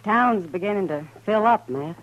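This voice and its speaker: A woman's voice: mid-range voice, animated, normal speed, dull audio, lightly acted. A worldly, watchful saloon woman of the Old West, played by an actress, with an American accent. She speaks plainly and confidingly, in understated old-time radio drama style. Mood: concerned. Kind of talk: 1950s radio western acting.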